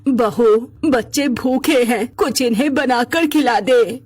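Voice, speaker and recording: A woman plays a kindly old mother-in-law in a cartoon family tale. Her voice is husky and fairly high, with a gentle, homely manner. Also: slow. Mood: concerned. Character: kindly elderly mother-in-law in a poor household.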